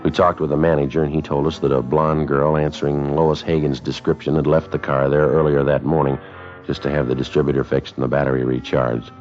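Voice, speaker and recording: An American actor playing a terse, businesslike police sergeant in a 1950s radio drama, clipped and matter-of-fact. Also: male voice, very deep voice, level tone, fairly quick, a little mellow, soft background music. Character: terse, businesslike male police sergeant, narrating his case. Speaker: detective and narrator. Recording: dull vintage 1950s radio broadcast.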